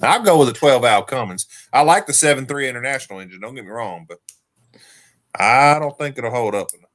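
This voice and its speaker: A man's voice: mid-range voice, lively intonation, a little fast, fairly bright tone. A man in his thirties from Arkansas with a country Southern accent, hosting a casual live stream chat in a folksy, teasing way. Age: 30s. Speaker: livestream host.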